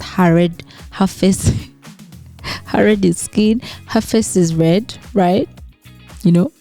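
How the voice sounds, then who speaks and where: A woman's voice: lowish voice, warm tone. A woman from Western Uganda, hosting her own channel in a chatty style full of "right?" and "you know". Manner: conversational, frequent tag questions and fillers. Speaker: YouTuber host. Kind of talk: casual commentary vlog.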